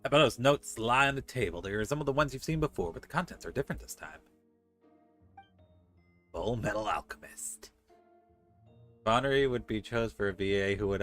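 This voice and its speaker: A man streaming a game, reading its text aloud and dropping in casual, joking commentary. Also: on the low side. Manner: joking asides between read passages. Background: soft game music.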